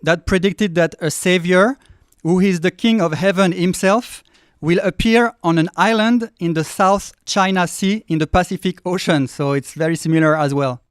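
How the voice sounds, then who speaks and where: A woman's voice: on the low side, normal speed, no echo. Polite woman in a dry, close setting. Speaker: disciple in conversation with teacher. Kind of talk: polite, deferential conversation.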